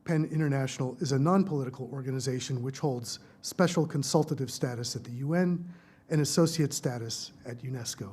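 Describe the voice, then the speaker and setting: A man hosting a library event, reading formal introductions of the speakers in a measured, prepared way. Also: mid-range voice, darkish tone, husky. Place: lecture hall at a research library.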